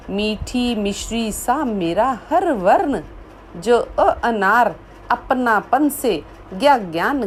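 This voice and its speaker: A woman reciting a poem she wrote herself, in a measured voice that repeats lines for emphasis, after a short spoken introduction. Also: mid-range voice, a little hiss or hum, low-quality recording. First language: Hindi. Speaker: poet. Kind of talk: slow recited poetry.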